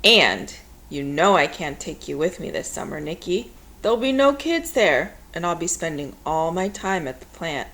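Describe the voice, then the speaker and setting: A woman voicing an easygoing, reassuring father in a storybook, gentle and a little wry. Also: lowish voice, very animated, moderate pace, pitched below the performer's own voice.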